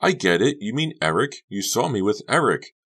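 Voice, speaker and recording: A man's voice: on the low side, very animated, normal speed, fairly bright tone, voice pushed up. A man voicing whiny, tearful teen girl Honey. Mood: hurt. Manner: whiny, pleading, innocent-sounding. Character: wounded, tearful teenage girl rival Honey.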